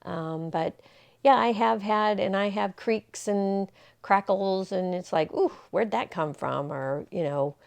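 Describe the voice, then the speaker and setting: A woman's voice: mid-range voice, takes it slowly, gravelly, darkish tone. A woman in her sixties talking casually to camera, rambling and reflective, with many fillers and restarts. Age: 60s. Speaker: vlogger. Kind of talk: casual personal talk to camera.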